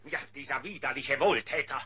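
An actor playing a pompous, bullying villain duke in a children's cartoon audio drama, with a harsh booming voice. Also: male voice, fairly quick, bright, forceful tone, a little hiss or hum, natural voice. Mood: scheming. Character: blustering villainous duke, scheming and domineering.

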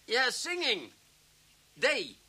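Blustering, high-pitched Dutch police chief. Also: male voice, huge pitch swings, pushed and bright, slightly rough, exaggerated voice. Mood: flustered, exasperated. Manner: blustering, halting, exasperated. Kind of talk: Dutch radio play acting.

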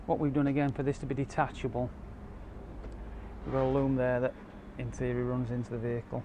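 A man's voice: mid-range voice, monotone, brisk, mellow. A man giving a casual, explanatory product walkthrough as a car audio installer. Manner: informal, explanatory. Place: outdoor car park and inside car. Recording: clear ambient noise behind handheld camera.

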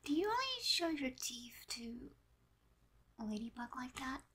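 A teenage girl from a fan audio drama acted by a woman, flustered and a bit teasing. The voice sits fairly high with a sharp edge. The protests come in emphatic, halting pieces. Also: sing-song, husky, exaggerated voice. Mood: soft, probing.

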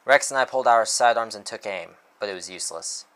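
A world-weary male detective played by a man reading aloud tells his noir story in a low voice. He is irritable and wry, with the dramatic delivery of a first-person storyteller. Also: fast talker, a bit bright, natural voice. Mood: urgent.

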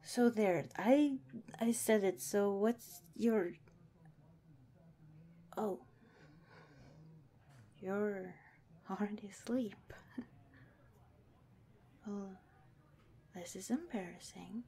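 A woman playing an affectionate, shy cop in a soft-spoken, intimate ASMR roleplay, gentle and hesitant with her partner. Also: mid-range voice, drawn-out words, long pauses. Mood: tender, shy.

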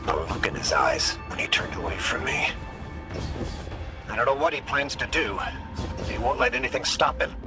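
An actor playing an intense, blunt guide in a video game, with an urgent, challenging way of talking. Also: male voice, mid-range voice, sing-song, moderate pace, pauses often, over music, close to an everyday voice. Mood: worried. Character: male guide tracking his commander, intense, defiant, blunt.

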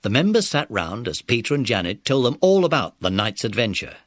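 Animated male storyteller, dry sound. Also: medium pitch, brisk, no room sound. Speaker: narrator. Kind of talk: scripted children's story narration.

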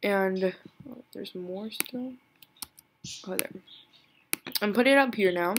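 Young woman tutor, screen recording, chatty and giggly, gravelly low-ish voice, faint hiss on the computer mic. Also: slow. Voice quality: voice lower than usual today. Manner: chatty, giggly, self-deprecating, thinks aloud. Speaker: tutorial creator.

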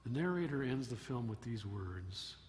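Older male pastor, mid-pitched, measured sermon storytelling, pulpit mic in an echoing sanctuary. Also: big pitch swings, a little mellow. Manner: measured storytelling delivery with emphatic rises. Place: church sanctuary at a wooden pulpit. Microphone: pulpit microphone. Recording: long church reverb, faint room noise.

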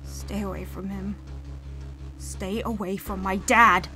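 A woman's voice: medium pitch, level tone, slow pace, pushed and bright, faint music behind. A young woman playing a protective, defiant owl demon daughter in a cartoon comic dub.

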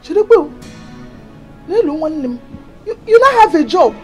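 An actress playing an excitable, teasing village elder sister in a film drama, exclamatory, with a Nigerian Igbo accent. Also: female voice, very high voice, very slow, soft background music, heavily acted. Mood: delighted. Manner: exclamatory, expressive.

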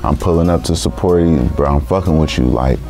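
Very deep-voiced laid-back rapper. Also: male voice, brisk, warm tone, soft background music. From San Francisco. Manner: slangy, laid-back street talk, constant "you feel me". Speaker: rapper guest. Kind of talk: casual interview talk. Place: small recording studio room.

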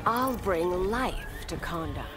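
An actress playing a cool, haughty plant-powered villainess in a video game, speaking in a measured way. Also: female voice, fairly low pitch, expressive, music in the background. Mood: cool defiance.

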